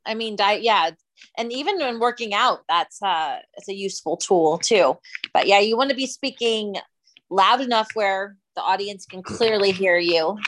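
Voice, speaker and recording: A woman chatting casually as co-host, full of fillers and quick to laugh. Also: mid-range voice, a bit bright, rough recording. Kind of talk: casual chat.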